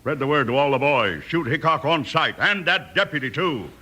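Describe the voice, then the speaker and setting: An actor playing a scornful, bullying western villain in a radio drama, barking orders with an American accent. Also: male voice, a little high, expressive, normal speed, sharp tone. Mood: scornful, vengeful. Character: ruthless, bullying male outlaw boss in the Old West. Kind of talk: 1950s western radio acting.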